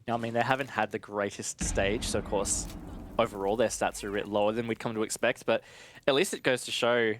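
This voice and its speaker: A man's voice: fairly low pitch, a bit bright, a little hiss or hum. A man giving conversational live analysis as an esports caster, in an informal way with casual asides.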